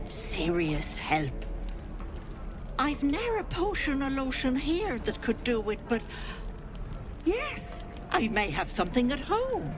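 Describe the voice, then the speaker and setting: A fussing old auntie, secretly a hag. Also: female voice, fairly high pitch. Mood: sympathetic. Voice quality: fussing, sing-song, grandmotherly. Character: sweet-talking old woman, a hag in disguise. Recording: game audio with music behind.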